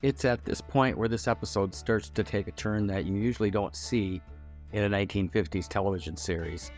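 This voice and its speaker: Low-voiced man narrating over soft music. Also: fairly even intonation, fairly quick. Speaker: narrator of a TV review video. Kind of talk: scripted storytelling narration.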